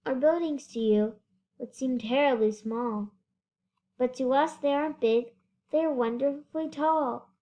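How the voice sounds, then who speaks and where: A woman's voice: high-pitched, unhurried, dark tone, pitched above the performer's own voice. A female reader voicing a tiny, polite and grateful storybook mayor with a piping, courteous manner. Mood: proud, friendly.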